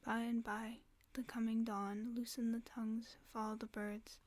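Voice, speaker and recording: A woman reading a fairy tale aloud as a sleep aid, in a soft, mostly unvoiced whisper close to the microphone. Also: fairly high pitch, little pitch movement, normal speed. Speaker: ASMR storyteller. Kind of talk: whispered ASMR bedtime story reading.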